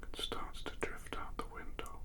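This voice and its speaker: A man giving an ASMR guided sleep story in a soft, breathy, soothing hypnotic manner, lingering on repeated phrases. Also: normal speed.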